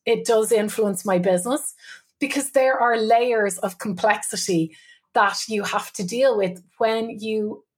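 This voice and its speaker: Chatty Irish woman, slightly high voice. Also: measured pace. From Ireland. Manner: chatty, laughs often, colloquial. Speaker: guest, business owner and mother. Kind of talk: podcast interview, candid storytelling.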